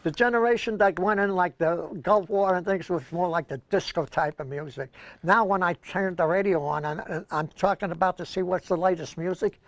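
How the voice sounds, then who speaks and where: A man's voice: high-pitched, brisk, fairly bright tone, no room sound. An older man with a Brooklyn accent, co-hosting a senior news show and reminiscing in a rambling, anecdotal way. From Brooklyn, New York, USA. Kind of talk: casual reminiscing chat.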